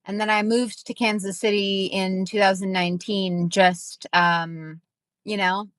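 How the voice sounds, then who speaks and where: A young woman talks casually as an interview guest. She rambles and restarts, her pitch sits in the middle, and the sound is close and dry. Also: flat delivery, measured pace, a bit bright, no room sound. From Minnesota, United States. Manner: rambling, filler-heavy, acts out quoted voices. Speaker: guest, ghostwriter.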